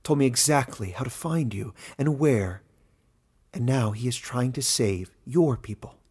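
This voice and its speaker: A man's voice: medium pitch, measured pace, darkish tone, slightly rough. A man voicing a loyal, earnest woman traveler who pleads urgently in a fantasy story. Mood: pleading.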